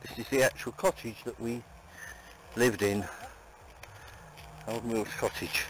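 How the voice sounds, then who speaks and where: A man around eighty walking outdoors and pointing out places from his childhood, in a halting, wandering way. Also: mid-range voice, fairly even intonation, rough recording. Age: about 80. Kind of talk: on-location reminiscing. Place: outdoors on a common. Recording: loud outdoor noise and passing traffic.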